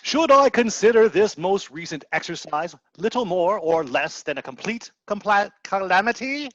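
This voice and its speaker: A man's voice: high voice, sing-song, moderate pace, fairly bright tone, no room sound. A middle-aged man acting a grandiose, anguished stranded soul in a radio play, declaiming theatrically into a headset on a video call. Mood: lofty. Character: grandiose, anguished stranded man given to doom-laden lament. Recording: dull, lo-fi video-call sound.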